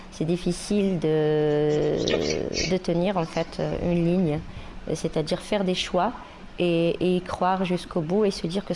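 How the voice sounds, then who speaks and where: Earnest woman singer, low voice. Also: dark tone, a little hiss or hum. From Morocco. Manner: earnest, hesitant with fillers. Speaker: singer and rapper. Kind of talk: documentary interview.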